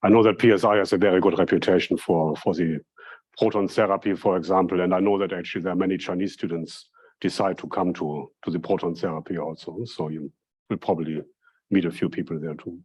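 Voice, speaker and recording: Guy leading a program welcome, husky, lowish voice, keeps restarting. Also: steady tone, a little mellow, lo-fi sound. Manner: friendly, halting, many restarts. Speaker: program director welcoming students. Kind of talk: casual welcome talk.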